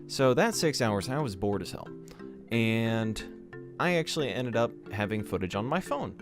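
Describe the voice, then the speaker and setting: A young man from Georgia hosts a gaming channel vlog and talks quickly and excitedly, with a stutter and many fillers. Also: mid-range voice, over music. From Georgia, USA. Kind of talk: casual vlog talk to camera.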